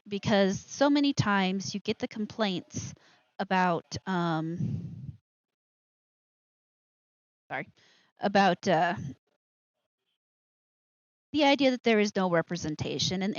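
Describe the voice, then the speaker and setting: A woman's voice: mid-range voice, no room sound, muffled recording. A woman hosting a live show with casual commentary, rambling and interrupting herself with asides.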